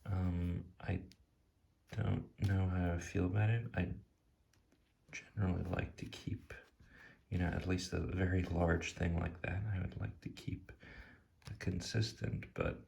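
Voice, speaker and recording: A man talking in a soft ASMR style as he reviews cards off the cuff, musing and hesitant, often drifting into a near-whisper. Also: low voice, flat delivery, measured pace, creaky. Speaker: tarot deck reviewer. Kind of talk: soft-spoken ASMR, impromptu commentary. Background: soft tapping and clicking.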